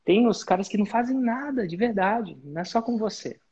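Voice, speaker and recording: A man's voice: sits high, expressive, fairly quick, a little mellow, breathy edge, lo-fi sound. A man hosting a live coaching session, giving direct, instructive advice to students. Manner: direct, instructive, uses sports-belt metaphors. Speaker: business mentor and host.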